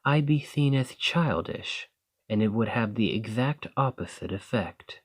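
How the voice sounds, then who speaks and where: A man voicing a proud male lamia noble in an ASMR roleplay, speaking in a formal, measured and wry way. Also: lowish voice, animated, husky. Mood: thoughtful.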